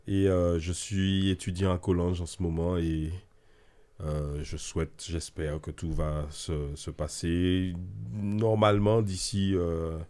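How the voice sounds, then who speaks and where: Man, 30s, from French Guiana, low voice, stuttering repetitions. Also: flat delivery, slow pace, warm tone, clean tone. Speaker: guest, theology student.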